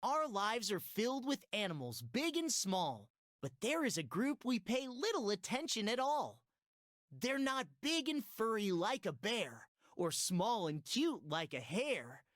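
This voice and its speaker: A woman's voice: fairly high pitch, sing-song, measured pace, dry recording, studio quality. A woman voicing an upbeat guide in a preschool game, narrating in instructive sing-song rhyme. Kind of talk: scripted rhyming children's game narration.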